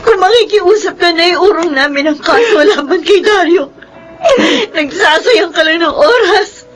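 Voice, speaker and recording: A distraught wife in a radio melodrama. Her voice is extremely high and bright, tearful and forceful, with words drawn out slowly. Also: soft background music. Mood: bitter anger. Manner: shrill, tearful crying out. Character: terrified, then bitterly angry victimized wife. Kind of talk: melodramatic radio drama acting.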